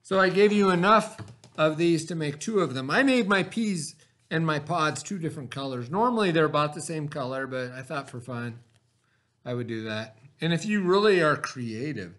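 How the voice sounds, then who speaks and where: A man in his forties, a teacher, giving slow step-by-step craft instructions to children from a classroom webcam. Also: on the high side, animated, fairly bright tone. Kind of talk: remote classroom craft lesson. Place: classroom, indoors. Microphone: webcam at desk.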